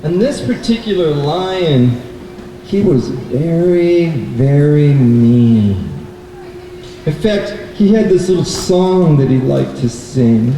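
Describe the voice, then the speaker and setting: A man presenting a children's puppet show, telling a story deliberately to a live audience and putting questions to the kids. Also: fairly high pitch, animated, dark tone. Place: indoor bookstore event, live. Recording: echoey room, distant mic, faint noise.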